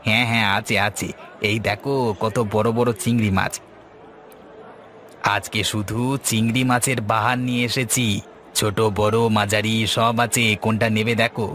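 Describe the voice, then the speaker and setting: A man's voice: on the low side, little pitch movement, normal speed, sharp tone, a little hiss or hum. A man voicing a pushy but friendly market fish seller in a cartoon, with repetitive sales patter. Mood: friendly, persuasive. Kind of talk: cartoon dialogue, street hawker calls.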